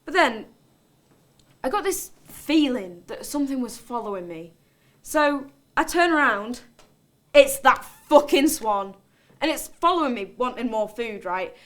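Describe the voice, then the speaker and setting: A woman's voice: on the high side, animated, measured pace. A woman playing a volatile, defensive character in a dramatic monologue, blunt and colloquial. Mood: hushed, wry. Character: volatile, defensive, sweary woman recounting her outburst. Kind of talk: dramatic monologue, conversational storytelling.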